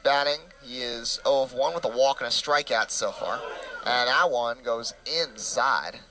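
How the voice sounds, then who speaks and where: Bright-voiced young male play-by-play announcer. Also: mid-range voice, low-quality recording. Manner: brisk, detail-packed game calling with stats. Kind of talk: college baseball play-by-play commentary.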